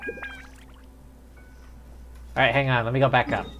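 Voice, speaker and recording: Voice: medium pitch, level tone, measured pace, a bit bright, soft background music. A streamer chatting casually and thinking aloud while playing a game live. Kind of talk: casual live gameplay commentary.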